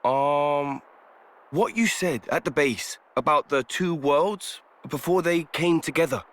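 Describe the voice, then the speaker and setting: An actor playing an earnest, inquisitive young fighter in a video game cutscene, blunt and questioning. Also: male voice, fairly high pitch, takes it slowly, a bit bright. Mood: puzzled.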